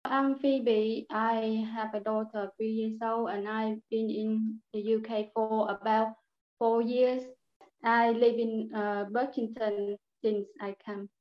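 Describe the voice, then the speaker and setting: High-voiced Vietnamese woman in an echoey room. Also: little pitch movement. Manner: halting second-language English. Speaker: English learner interviewed. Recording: echoey room, slightly dull sound.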